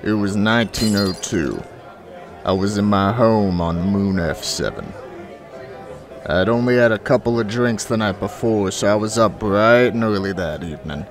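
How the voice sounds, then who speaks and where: A man's voice: lowish voice, moderate pace, over music, close to an everyday voice. A man playing a crude, hard-drinking space drifter who tells his story in a folksy, swaggering way for an audio drama. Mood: wry, laid-back. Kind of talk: audio drama acting with first-person narration.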